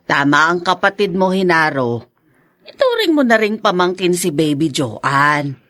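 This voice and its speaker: A woman's voice: fairly low pitch, sing-song, a little slow, fairly bright tone, no echo, clean studio sound. An actress playing an affectionate mother and grandmother in a radio drama. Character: warm older mother and grandmother.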